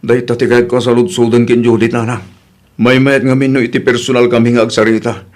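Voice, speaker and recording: A man acting a wronged father in an Ilocano radio-style drama. His mid-pitched voice has an Ilocano accent and carries weariness, hurt and resolve. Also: level tone, a little fast, natural voice. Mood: serious, weary. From Philippines.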